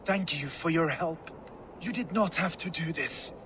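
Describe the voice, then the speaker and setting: A man's voice: fairly high pitch, measured pace, bright, forceful tone, a little hiss or hum. A man playing a frail, earnest old Viking in a video game, solemn. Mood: resigned, grateful. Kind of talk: dramatic video game acting.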